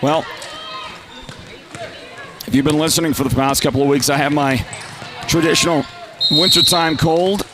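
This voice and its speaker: A man with a nasal, congested voice from a lingering cold, calling a live high school basketball game in a chatty way and raising his voice on big plays. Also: steady tone, moderate pace, long pauses. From Northern Arizona. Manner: chatty, rises to loud calls on big plays. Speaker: play-by-play announcer.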